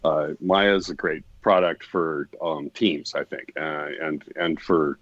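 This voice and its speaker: A man co-hosting a live stream, talking casually in halting sentences full of fillers. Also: a bass voice, steady tone, fairly bright tone, no room sound, lo-fi sound. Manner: halting, many fillers, easygoing. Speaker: co-host.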